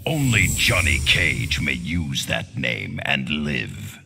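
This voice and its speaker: A menacing warrior grandmaster speaks solemnly in a video game. The voice is extremely low and forceful, grinding out threats slowly over faint music. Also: male voice, very animated, vocal fry, exaggerated voice. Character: grave, menacing male ice-warrior grandmaster. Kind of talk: video game character dialogue.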